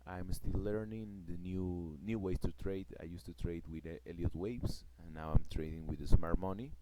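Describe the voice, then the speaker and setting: A man from Peru with a Peruvian Spanish accent, a native Spanish speaker explaining his trading plan in a casual vlog. He talks haltingly, searching for words, with many fillers and restarts. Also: low-pitched, fairly even intonation. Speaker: forex trader vlogger. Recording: loud background noise.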